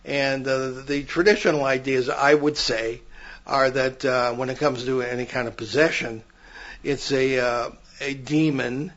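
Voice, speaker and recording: A man's voice: mid-range voice, fairly even intonation, measured pace, fairly bright tone, lo-fi sound. An older man co-hosting a paranormal podcast, telling long stories with frequent restarts in casual chat. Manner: rambling, many restarts, storytelling.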